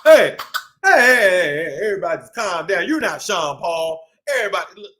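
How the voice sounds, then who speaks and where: Very animated man hosting kids' storytime. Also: high-pitched, normal speed. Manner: exclamatory, playful, sing-song. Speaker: children's storytime host. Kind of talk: animated read-aloud for young children.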